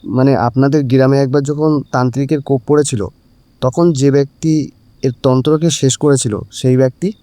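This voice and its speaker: A man's voice: mid-range voice, steady tone, normal speed, dark tone, no room sound, lightly acted. A man playing a practical, insistent young man in an audio drama, talking in an urgent conversational way. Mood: polite, urgent. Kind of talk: Bengali audio story acting.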